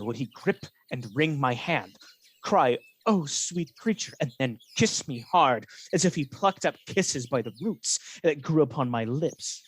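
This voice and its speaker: A man playing a scheming, falsely honest soldier in a Shakespearean radio drama, speaking in a measured, insinuating manner. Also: fairly high pitch. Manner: insinuating, measured, feigned reluctance.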